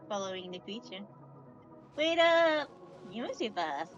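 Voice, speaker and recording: A woman's voice: on the high side, dull audio. A woman casually commenting as she plays a game, musing aloud and reacting in short exclamations. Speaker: streamer playing a game.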